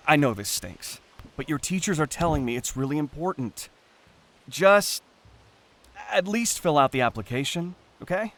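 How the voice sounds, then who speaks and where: A man's voice: mid-range voice, fairly bright tone. A man playing a concerned, pushy middle-aged father in an audio drama, earnest and coaxing.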